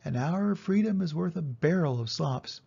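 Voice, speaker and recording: Storybook goose, female, bossy and excitable, shrill, put on by a male reader; dark, husky tone. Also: normal speed, full character voice. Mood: pleading. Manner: shrill, repeats phrases in bursts. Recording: dull lo-fi recording.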